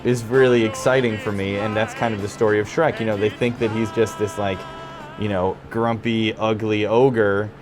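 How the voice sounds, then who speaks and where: A young man with a Midwestern American accent and a fairly low voice chats easily in a theater dressing room. He rambles with plenty of fillers. Loud music plays behind him. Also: level tone, moderate pace. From Chicago. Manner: chatty, laid-back, many fillers. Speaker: stage actor interviewed backstage. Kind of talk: casual backstage interview.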